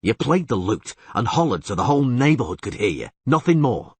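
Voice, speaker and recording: A man voicing a gruff, curt male monster hunter who scolds bluntly and dryly in an audiobook. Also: medium pitch, big pitch swings, a little fast, no room sound. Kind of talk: audiobook character voice.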